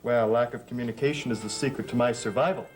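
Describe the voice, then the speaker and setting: An actor as a sardonic young gothic heir. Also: male voice, medium pitch, quick pace, noisy background, lightly acted. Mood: mocking, smug. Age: young adult. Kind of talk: 1960s-70s gothic TV soap acting.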